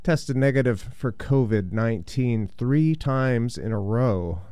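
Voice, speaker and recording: A man hosting a radio show and chatting casually between records, his voice deep and congested from a cold, rambling a little with fillers. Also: lively intonation, slow, warm tone, clean tone, dry recording. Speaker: radio DJ host.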